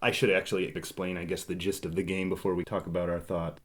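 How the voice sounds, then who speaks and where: A man with a fairly low voice hosts a podcast in a loose, chatty style. He banters and teases his way through the discussion, keeping it informal. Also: little pitch movement, quick pace. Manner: teasing, bantering. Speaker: podcast host. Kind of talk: casual board game discussion.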